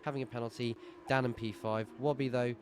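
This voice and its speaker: A man with a sore throat giving brisk live play-by-play commentary on a sim race, rising in excitement at overtakes. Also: medium pitch, noisy background.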